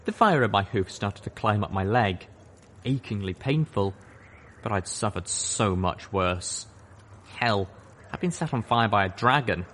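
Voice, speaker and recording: A man reading a fan-fiction audiobook aloud, narrating in the first person in a steady storytelling manner. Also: on the low side, a little slow, a little hiss or hum. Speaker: audiobook reader.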